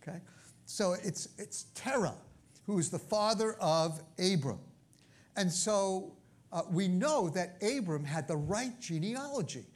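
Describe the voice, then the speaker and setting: Brooklyn-accented preacher, chatty and a bit high. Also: male voice, lively intonation, a little slow. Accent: New York (Brooklyn). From Brooklyn, New York. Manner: conversational, frequent asides and check-ins. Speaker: pastor. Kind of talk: sermon teaching.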